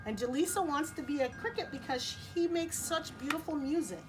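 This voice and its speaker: A woman storyteller reading expressively to children, pausing to explain and repeat things in simple words. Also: sits high, lively intonation. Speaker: storyteller and host. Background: music.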